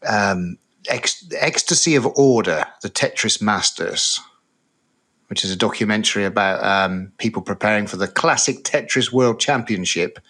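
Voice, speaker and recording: A man's voice: on the low side, moderate pace, pushed and bright. A middle-aged man from the UK, co-hosting a podcast in casual chat, hesitant with many fillers. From United Kingdom. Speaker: podcast co-host.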